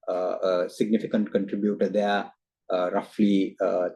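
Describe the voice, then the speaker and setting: A man giving a formal investor presentation as a company executive on a video call, halting often with hesitant filler sounds. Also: lowish voice, mellow, breathy edge, rough recording. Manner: hesitant, halting delivery with frequent uhs.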